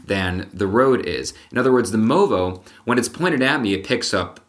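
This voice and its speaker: A man with a deep voice, vlogging casually to the camera and explaining gear with frequent restarts. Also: lively intonation, moderate pace. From Connecticut, USA. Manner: chatty, explanatory, with filler restarts. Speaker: vlogger and magician. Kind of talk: casual vlog talk to camera. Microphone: camera-mounted shotgun mic.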